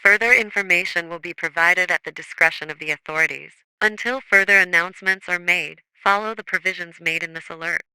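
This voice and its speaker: A man playing a grave government emergency broadcast announcer, reading a scripted alert in a formal, measured, official manner. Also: high-pitched, pushed and bright, clear, resonant tone, no room sound, exaggerated voice, rough recording.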